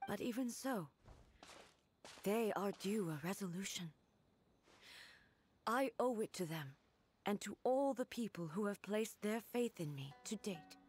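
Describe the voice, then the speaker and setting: A composed, duty-bound goddess ruler, voiced by a woman speaks in grave, formal, measured phrases. It is game dialogue with faint music beneath. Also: mid-range voice, animated, a little mellow, natural voice. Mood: solemn. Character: solemn regal female god-ruler, duty-bound and composed. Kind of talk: game voice acting.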